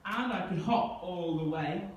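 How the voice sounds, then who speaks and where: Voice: medium pitch, a little slow, natural voice. A performer voicing a cheeky, boastful child puppet boy with an exaggerated, bragging delivery in a children's puppet show. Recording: echoey room, distant mic, faint noise.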